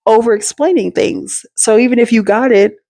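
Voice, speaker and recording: A woman hosting her own storytime show, telling a personal story in a chatty, slangy and animated way, full of asides to her viewers. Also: a little high, moderate pace, creaky, dry recording. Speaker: storytime host.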